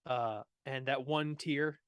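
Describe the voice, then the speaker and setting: A man's voice: medium pitch, steady tone, takes it slowly, fairly bright tone, clear, resonant tone, no echo, studio quality. A young American man co-hosting a livestream, telling anecdotes casually in a blunt, joking, sweary way. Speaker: livestream co-host.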